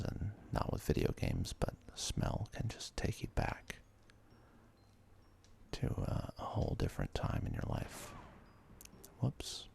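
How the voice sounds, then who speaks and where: Low whispering man, creaky ASMR delivery. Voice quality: breathy whisper with gravelly creak. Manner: relaxed, self-deprecating, unhurried asides. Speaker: host of a gaming ASMR series. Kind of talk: ASMR let's play commentary.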